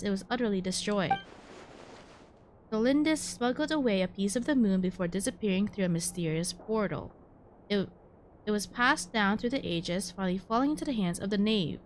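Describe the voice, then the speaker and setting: A woman's voice: mid-range voice, lively intonation, normal speed, a little hiss or hum. A woman streaming a game, casually commenting and reading story narration aloud.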